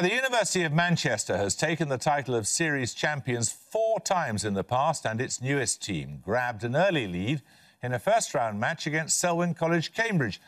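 An older man hosting a television quiz in a studio, with a crisp, clipped, authoritative delivery. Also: medium pitch. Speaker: quiz host.

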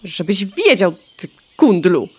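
An actress playing a cheeky, vain young hen in a children's radio play, sulky and mocking. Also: female voice, on the low side, big pitch swings, takes it slowly, a little mellow, breathy edge, heavily acted. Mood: snappy.

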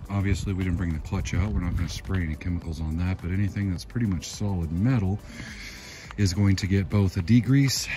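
A man hosting a casual workshop walkthrough, talking in a relaxed, explanatory way with plenty of fillers. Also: sits low. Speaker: car mechanic and channel host.

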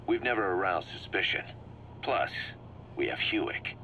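A man's voice: deep voice, lively intonation, moderate pace, pushed and bright. A man playing a driven, shrewd mercenary commander in a video game, briefing his boss in an emphatic, assured way. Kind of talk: scripted video game dialogue, explaining plans. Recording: muffled cassette-like sound with background hum.

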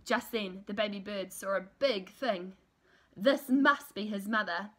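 A woman in her thirties reading a children's storybook aloud in an expressive, deliberate, emphatic way. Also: mid-range voice. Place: small room at home, indoors.

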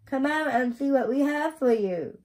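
A woman's voice: high-pitched, expressive, normal speed, a little mellow, voice pushed up. A woman voicing an eager, friendly little girl from a storybook, reading earnestly and carefully. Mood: excited.

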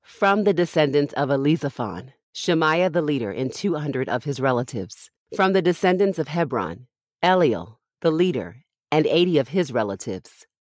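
A woman's voice: deep voice, animated, normal speed, darkish tone, no room sound, studio quality. A woman reading Bible passages aloud from a script as a podcast host.

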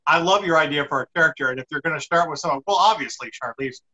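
A man's voice: a little high, quick pace, pushed and bright. A middle-aged man hosting an interview, chatting casually over a video call. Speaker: interviewer, show host. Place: indoor room on a video call. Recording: slightly dull video-call audio.

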